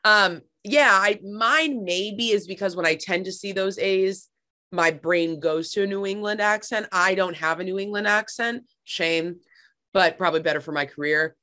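A young woman on a video call from her living room, a podcast guest talking sports in a lively, playful, teasing way. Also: medium pitch, normal speed. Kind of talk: casual sports chat.

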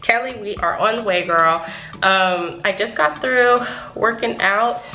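A woman's voice: mid-range voice, unhurried, sharp tone, soft background music, low-quality recording. A woman, chatting casually to her viewers in a home vlog and calling them y'all. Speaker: vlogger. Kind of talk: casual vlog chat to camera. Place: home rooms and a parked car.

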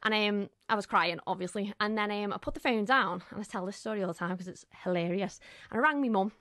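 A young woman, a voice actress guest telling lively stories with quoted voices in a radio interview. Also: mid-range voice, no echo, studio quality. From Merseyside, England. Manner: bubbly, fast storytelling with voiced quotes. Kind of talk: lively anecdotal chat. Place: radio studio. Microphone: studio mic.

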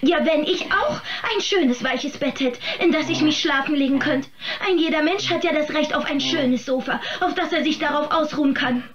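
An actress playing a scheming, grasping woman in a radio play, wheedling with drawn-out emphasis. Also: high-pitched, highs cut off, a crowd in the background, lightly acted. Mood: wistful, demanding. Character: scheming, grasping woman who coos and bargains with food. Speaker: actor in an audio drama. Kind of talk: theatrical German radio play acting.

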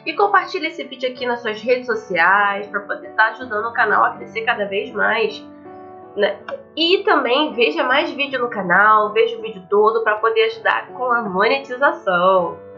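A woman's voice: fairly high pitch, lively intonation, moderate pace, muffled recording, faint music behind. A young woman with a Brazilian accent giving casual let's play commentary as a gaming channel host. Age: young adult. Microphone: headset.

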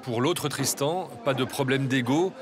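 A man reading scripted documentary voice-over narration in a measured, even way. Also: mid-range voice, crowd noise.

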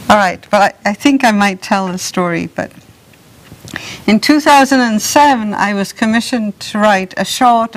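Middle-aged woman, composer giving a talk, unhurried, chuckling storyteller at a podium mic. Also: mid-range voice, poor audio. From South Wales. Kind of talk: lecture with anecdotes. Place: lecture room with curtains. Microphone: podium microphone.

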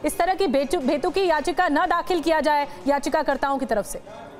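A woman's voice: high-pitched, moderate pace. A woman news anchor in a television studio, delivering a live breaking-news bulletin with urgent, emphatic repetition.

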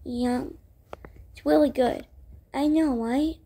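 A woman's voice: high voice, fairly even intonation, slow pace, vocal fry, mellow, husky. A woman telling a cartoon roleplay story, acting out the everyday dialogue herself.

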